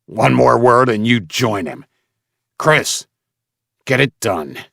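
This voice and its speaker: A man's voice: mid-range voice, animated, slow, vocal fry, fairly bright tone, no echo, clean studio sound, pitched above the performer's own voice, exaggerated voice. A man voicing a brutal, short-tempered leader of a slaver gang in an audiobook, barking commands with menace. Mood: furious threat. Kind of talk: audiobook character acting.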